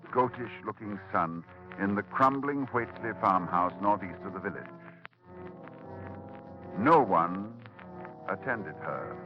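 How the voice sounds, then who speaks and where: A man narrates a horror radio drama, grave and measured as he builds the tale. His voice sits low and steady. It comes through a dull old radio broadcast with faint music beneath it.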